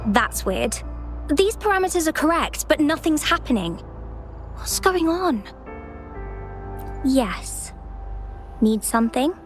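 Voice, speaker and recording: A woman's voice: high voice, sing-song, slow, pauses often, exaggerated voice. A woman voicing an earnest, polite young female researcher in a video game cutscene, explaining things carefully. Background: game music.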